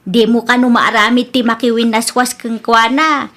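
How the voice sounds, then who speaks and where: A protective, scolding mother with a high, sharp voice and an Ilocano Filipino accent , played by a woman. She lectures her grown daughter in a radio comedy drama style. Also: fairly even intonation, moderate pace, natural voice. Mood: scolding, disapproving.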